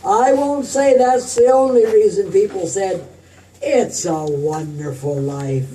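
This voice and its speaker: An actor playing a polished 1940s radio producer who hosts and narrates a drama broadcast. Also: male voice, sits high, slow, dark tone, distant mic, a little hiss or hum, rough recording. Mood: polished, measured.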